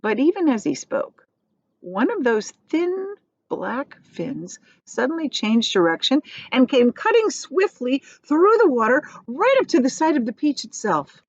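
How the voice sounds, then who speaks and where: A woman's voice: high voice, big pitch swings, unhurried, no room sound, muffled recording. A woman reading a children's book aloud as a teacher would, expressively, adding explanations for her listeners.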